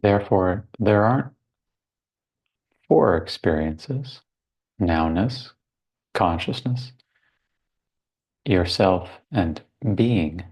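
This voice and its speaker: A man leading a guided meditation as a teacher, speaking contemplatively in short phrases with long pauses between them. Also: sits low, expressive, a little mellow, slightly rough.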